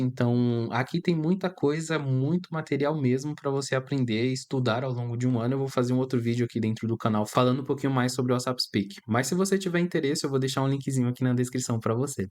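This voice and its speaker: A man in his thirties with a Brazilian Portuguese accent, an English teacher chatting casually to camera and dropping in little tag questions. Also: mid-range voice. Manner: chatty, peppers speech with "cara" and "tá?". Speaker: English teacher and channel host.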